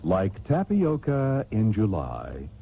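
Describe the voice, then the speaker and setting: An actor playing a suave, pompous host narrating a parody radio soap with drawn-out theatrical delivery. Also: male voice, on the low side, wildly swooping pitch, creaky, husky, exaggerated voice. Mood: grandly amused. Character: suave, mock-grand male soap opera host. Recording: tinny band-limited taped radio broadcast.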